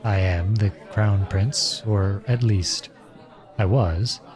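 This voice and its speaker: A man playing an earnest, lovestruck outlawed prince turned soldier in an audio roleplay drama, speaking softly and with measured pauses. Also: lowish voice, a crowd in the background. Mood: solemn.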